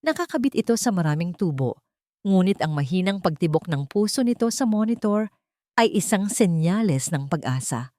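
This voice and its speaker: A woman reading a scripted dramatic story in a measured, deliberate way, with short pauses for effect. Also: on the low side, expressive, dark tone, dry recording, studio quality. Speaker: story narrator.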